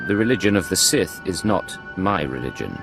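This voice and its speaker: A self-possessed imperial spy in a sci-fi game. His low voice moves with lively intonation, dry and clipped, quietly defiant. Music plays clearly behind him. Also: moderate pace, full character voice. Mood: guarded defiance. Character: composed, defiant male imperial secret agent. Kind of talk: dramatic video game acting.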